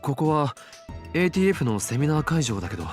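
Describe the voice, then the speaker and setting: A flustered young scientist. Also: male voice, fairly high pitch, very animated, rapid-fire. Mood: puzzled. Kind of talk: anime game voice acting in Japanese. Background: game background music.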